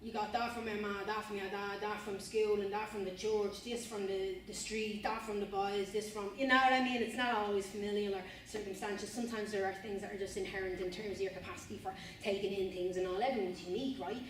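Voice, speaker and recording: A woman's voice: mid-range voice, steady tone, fast, few pauses, slight background noise. A young Irish woman giving an informal talk to students, earnest and rambling, repeating and restarting phrases. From Ireland. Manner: earnest, rambling, repeats and restarts phrases, says "you know what I mean". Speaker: spoken word artist giving a talk. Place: university room, indoors. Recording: distant, very echoey room with faint ambience.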